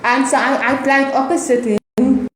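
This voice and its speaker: A woman's voice: high voice, fairly even intonation, measured pace. A woman from South Africa with an accent, whose first language is Afrikaans and who is hard of hearing, speaking earnestly with many fillers as a radio interview guest. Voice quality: speaks with a deaf speech pattern, hard of hearing. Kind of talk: radio interview, personal testimony. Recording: echoey live feed with background noise.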